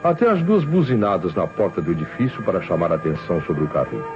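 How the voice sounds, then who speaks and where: Self-assured inspector, Brazilian accent, deep swooping delivery, theatrical radio drama, muffled old recording. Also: male voice, sing-song, fast talker, over music. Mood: insistent, triumphant. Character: shrewd, self-assured male police inspector, theatrical and insistent. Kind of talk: 1960s Brazilian radio mystery acting.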